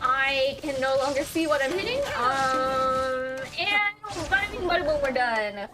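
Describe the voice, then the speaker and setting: Young female game streamer: high-pitched, lively, playful offhand commentary with game music clearly behind. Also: a little slow. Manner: playful, repeats phrases, sing-song asides. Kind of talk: casual reaction commentary while playing. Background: video game music.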